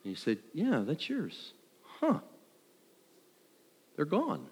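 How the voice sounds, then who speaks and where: A man tells a personal testimony to a congregation in a conversational, self-deprecating way, acting out dialogue and thoughts like an animated storyteller. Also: fairly high pitch, takes it slowly, breathy edge. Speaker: worship leader. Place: large room, speaking to an audience. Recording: live hall with long reverb.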